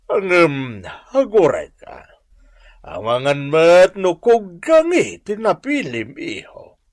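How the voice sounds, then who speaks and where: A man's voice: on the high side, wildly swooping pitch, slow pace, no echo, studio quality, heavily acted. A man with an Ilocano Filipino accent acting an elderly, well-off father fretting over his son in a radio comedy drama. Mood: teasing, doubtful. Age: older.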